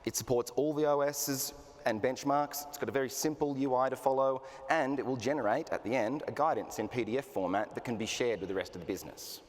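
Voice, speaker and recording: A man's voice: mid-range voice, fairly quick, few pauses. An easygoing man, co-presenting a conference talk. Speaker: customer success manager presenting. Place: conference hall. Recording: reverberant hall.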